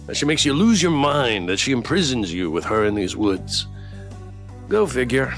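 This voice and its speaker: A man voicing a blunt, selfish traveler in a dark fantasy game, talking in an ominous manner. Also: medium pitch, big pitch swings, normal speed, soft background music, close to an everyday voice. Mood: ominous gossip.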